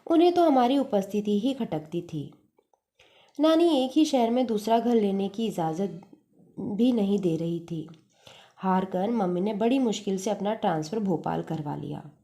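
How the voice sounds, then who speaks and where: A woman narrating a literary story as a storyteller, reading expressively in an earnest, measured manner. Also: medium pitch, mellow.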